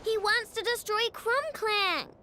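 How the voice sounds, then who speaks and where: A woman's voice: very high-pitched, steady tone, unhurried, very noisy. A woman voicing a feisty, stubborn young inventor girl in a video game, with a childlike voice and a defiant manner. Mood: defiant, angry. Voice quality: childlike, girlish. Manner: defiant, emphatic.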